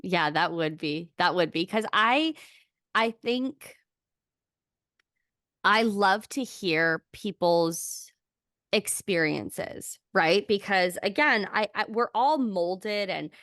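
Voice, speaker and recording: A young woman chats casually as a podcast co-host. She is candid and animated and swears freely, in a mid-pitched voice recorded close and dry on a podcast microphone. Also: slow, dry recording. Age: young adult. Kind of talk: casual chat. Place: small indoor room, remote video recording. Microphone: podcast microphone on boom arm, close up.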